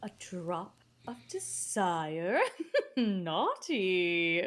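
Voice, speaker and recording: A woman's voice: fairly low pitch, big pitch swings, very slow, fairly bright tone, exaggerated voice. A woman playing a haughty fairy godmother in a comic table read, with a theatrical, mockingly sweet delivery. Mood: gleeful, naughty. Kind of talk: comic table read of an animated film script.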